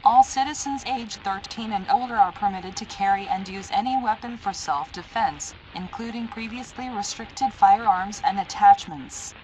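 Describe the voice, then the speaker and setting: A woman's voice: medium pitch, normal speed, few pauses, darkish tone. A woman reading a scripted emergency alert as an announcer, in a formal, impersonal, automated-sounding delivery. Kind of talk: scripted emergency broadcast narration. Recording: noisy analog horror alert broadcast.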